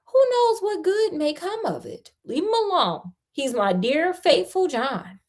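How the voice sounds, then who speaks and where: Young fairy-tale king acted by a woman, earnest and forgiving, highly expressive, dramatic declaiming. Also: measured pace, full character voice. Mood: indulgent.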